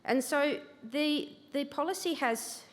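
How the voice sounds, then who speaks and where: A woman's voice: high-pitched, a little slow, very noisy. An Australian woman, a government education manager, giving a chatty, self-deprecating conference talk at a lectern microphone. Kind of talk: conference presentation. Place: large convention hall. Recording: reverberant hall, livestream.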